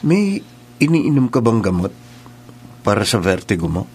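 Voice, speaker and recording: A man's voice: on the low side, big pitch swings, moderate pace, darkish tone, breathy edge. An actor playing a friendly, teasing male school nurse in a radio drama. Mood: kindly.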